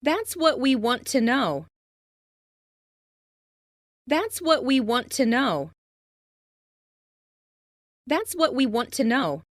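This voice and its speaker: A woman reading scripted English example sentences for language learners, enunciating carefully and deliberately. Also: high-pitched, big pitch swings, dry recording, studio quality. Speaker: English lesson narrator.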